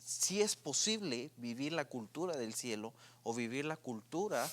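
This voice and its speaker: A man's voice: medium pitch, animated, measured pace. Guatemalan guy hosting a church youth podcast, lots of ums, keeps restarting. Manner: hesitant, many fillers and restarts. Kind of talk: casual faith discussion.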